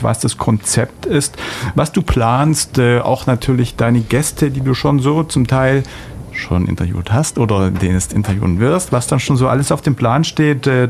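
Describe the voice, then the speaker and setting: A man hosting a studio radio interview, speaking haltingly in long, winding sentences. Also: medium pitch, vocal fry, darkish tone, a little hiss or hum. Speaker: radio host. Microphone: studio microphone.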